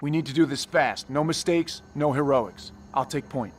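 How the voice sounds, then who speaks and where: A man's voice: a little high, moderate pace, distant mic, very noisy, lightly acted. A man playing a stern, decisive squad commander in a video game, giving terse, authoritative orders. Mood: resolute. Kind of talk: video game cutscene acting.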